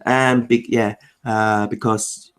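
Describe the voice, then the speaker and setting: A man's voice: on the low side, little pitch movement, clear, resonant tone. Cantonese-native man from Macau. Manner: explains in quick bursts, rattles off lists. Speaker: interview guest. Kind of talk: casual conversational interview.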